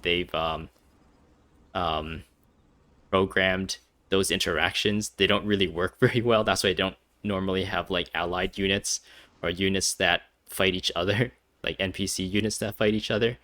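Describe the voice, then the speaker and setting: Deep-voiced man giving bright, casual game commentary. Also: steady tone, normal speed, no room sound, clean studio sound. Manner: rambling, laughs often while talking. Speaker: game streamer playing through a story quest.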